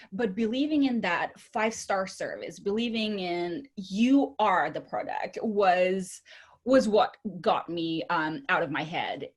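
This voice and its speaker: Chatty Bulgarian-accented woman telling her story. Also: mid-range voice. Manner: chatty, outgoing, often laughing. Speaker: interview guest. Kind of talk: conversational personal storytelling.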